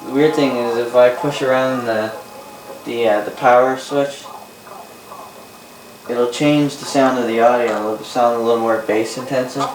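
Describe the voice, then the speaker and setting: Flat-toned Canadian man, roomy distant recording. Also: medium pitch. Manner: halting, repeats and rephrases himself. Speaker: vintage radio hobbyist presenting. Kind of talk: casual unscripted demonstration. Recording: roomy, distant mic, faint noise.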